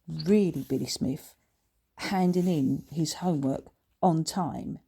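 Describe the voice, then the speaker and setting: A woman reading a children's book as its author, in expressive, scripted storytelling narration. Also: lowish voice.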